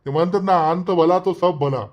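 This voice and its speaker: A man acts out a village fellow in a cartoon comedy. His voice is quite high for a man, and he speaks as an approving onlooker. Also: normal speed, highs cut off, close to an everyday voice. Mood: relieved, approving. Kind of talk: Marathi comedy cartoon dialogue.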